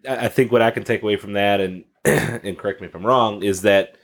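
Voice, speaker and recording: A man's voice: fairly low pitch, a little fast. A man hosting a podcast, upbeat and addressing his listeners directly. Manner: upbeat, talks straight to listeners. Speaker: podcast host.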